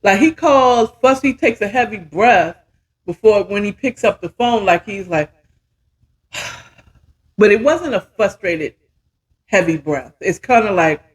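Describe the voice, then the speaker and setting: A woman's voice: medium pitch, lively intonation, slow, pauses often, low-quality recording. A woman in her fifties telling a story casually as a podcast host, chatty and emphatic, often checking in with the listener. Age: 50s.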